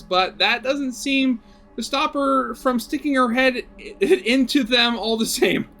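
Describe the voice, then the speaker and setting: High-voiced man reading a game aloud. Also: sharp tone. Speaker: gaming video host. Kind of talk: reading a visual novel aloud with casual commentary.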